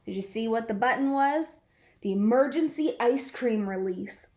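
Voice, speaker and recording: A woman reading a picture book aloud to children in an expressive way, drawing out words for effect. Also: on the high side, moderate pace. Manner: animated, draws out words for effect. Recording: roomy, echoing sound.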